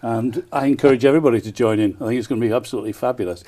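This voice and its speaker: Mellow-voiced man, enthusiastic interview guest. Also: medium pitch, racing. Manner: enthusiastic, frequent hesitant ers. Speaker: town crier and festival promoter, interview guest. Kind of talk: promotional broadcast interview answers.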